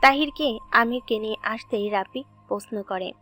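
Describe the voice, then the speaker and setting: Female narrator, slightly high voice, scripted dramatic storytelling over soft music. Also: moderate pace.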